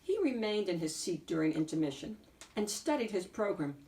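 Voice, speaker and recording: A woman reading a picture book aloud as an expressive narrator, phrasing deliberately with emphatic swells. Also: fairly low pitch, recorded from a distance. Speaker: picture book reader and host.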